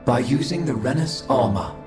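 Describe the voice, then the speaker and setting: A man's voice: medium pitch, lively intonation, lightly acted. Echoing, coldly logical otherworldly being, played by a man. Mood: detached, formal. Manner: formal, measured, dispassionate delivery. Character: calm, coldly logical, otherworldly male alien observer being. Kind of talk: scripted video game cutscene acting. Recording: echoing voice over background music.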